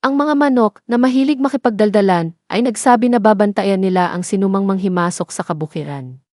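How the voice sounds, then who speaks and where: A woman's voice: medium pitch, brisk, darkish tone, no room sound, studio quality. A woman with a Filipino accent narrating a scripted children's bedtime story. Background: music bed.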